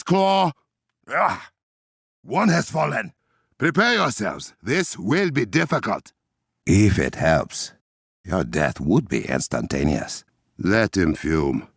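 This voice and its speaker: An actor playing a proud, resolute warrior king in a video game, with an African accent and formal, measured, regal diction. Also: a little high, creaky, fairly bright tone, no echo, clean studio sound, full character voice. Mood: urgent, commanding. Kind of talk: dramatic video game voice acting.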